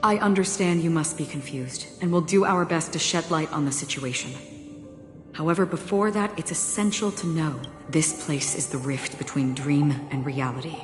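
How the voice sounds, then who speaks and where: An actress voicing a composed, serious young woman who calmly explains things in a game. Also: sits low, close to an everyday voice. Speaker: game character. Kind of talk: voiced game dialogue. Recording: roomy game audio with music.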